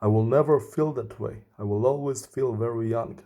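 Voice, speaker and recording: A man in his thirties speaking earnestly in a slow, deliberate personal monologue to camera. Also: mid-range voice, dark tone. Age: 30s. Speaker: vlogger.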